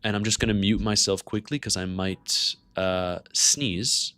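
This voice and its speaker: Low-voiced man. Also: fairly even intonation, a little slow, sharp tone. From Canada. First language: French. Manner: conversational, greets and answers chat. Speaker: livestream host. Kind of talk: casual livestream market commentary.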